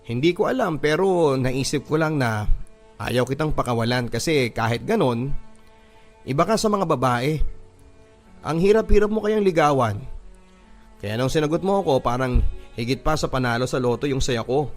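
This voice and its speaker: A man's voice: mid-range voice, expressive, normal speed, long pauses, soft background music, lightly acted. A man voicing an earnest, lovestruck young boyfriend with a Filipino accent, in a radio drama. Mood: earnest, smitten.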